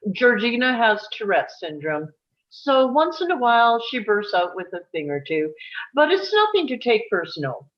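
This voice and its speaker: A woman playing a calm, matter-of-fact psychiatric nurse giving a tour in a screenplay table read, speaking in a measured way. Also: fairly high pitch, highs cut off.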